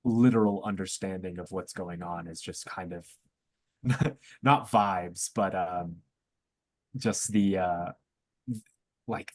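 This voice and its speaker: A man's voice: low-pitched, no room sound. A young man in a casual discussion on a student podcast, talking in a hesitant, thoughtful, informal way.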